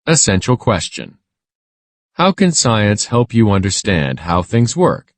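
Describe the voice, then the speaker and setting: A man narrating a children's read-aloud in a deliberate, expressive scripted style. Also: on the low side, no echo.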